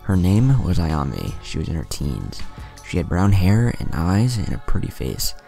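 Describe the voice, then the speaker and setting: A man reading a fan fiction story aloud in a plain, steady way, with the odd slip. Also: low voice, measured pace, creaky, faint music behind.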